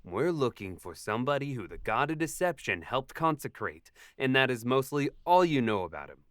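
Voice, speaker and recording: An inquisitive, easily astonished traveler, acted by a man, in a fantasy audio drama. His voice has an average male pitch and is recorded very dry. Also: big pitch swings, moderate pace, a bit bright, dry recording.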